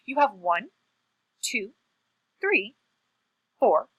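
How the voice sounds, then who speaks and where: Friendly, none-too-bright storybook bull played by a woman: high-pitched, lively, bright and obliging. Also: extremely slow, breathy edge, no echo, muffled recording, exaggerated voice. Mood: cheerful, obliging. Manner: cheery, obliging, naive. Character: kindly, simple-minded male bull, eager to help.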